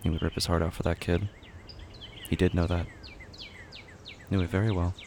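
Low voiced guy narrating a horror drama, gravelly, short halting sentences read slow and careful. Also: flat delivery, darkish tone, slight background noise. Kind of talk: scripted narration in short clipped fragments.

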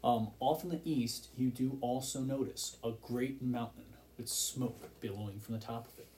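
A man's voice: mid-range voice, fairly even intonation, moderate pace, dark tone, slight background noise. A man acting as game master, narrating a tabletop game with descriptive scene-setting.

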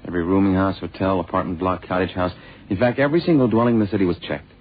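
An actor playing a dutiful, doubtful sidekick investigator, brisk and matter-of-fact, in a 1970s radio drama. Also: male voice, deep voice, slight background noise, lightly acted. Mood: brisk reporting. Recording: muffled, tinny vintage radio recording.

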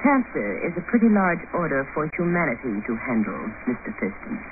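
An actress playing a poised, guarded woman doctor in a 1950s radio drama, speaking formally and deliberately. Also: low voice, sing-song. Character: poised, guarded female hospital doctor and researcher. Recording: noisy, tinny old radio broadcast.

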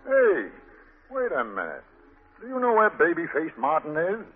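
An actor playing a blunt, wary New police officer with a husky voice in a 1940s radio drama. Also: male voice, on the high side, wildly swooping pitch, unhurried, full character voice. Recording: echoing, muffled old radio broadcast.

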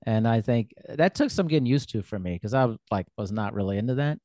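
A man's voice: medium pitch, animated, quick pace, a little mellow, no echo, studio quality. Easygoing male podcast host. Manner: easygoing, encouraging, laughs along. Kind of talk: casual interview conversation.

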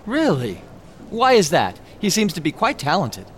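A man's voice: high-pitched, wildly swooping pitch, normal speed, slight background noise. An actor playing an eager, opinionated American showman with an emphatic manner in a radio drama. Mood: keen.

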